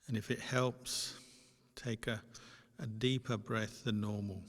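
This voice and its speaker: A man teaching as a meditation guide, giving gentle, unhurried instructions with long pauses between short phrases. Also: on the low side, steady tone. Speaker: Buddhist monk and meditation teacher. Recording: long hall reverb.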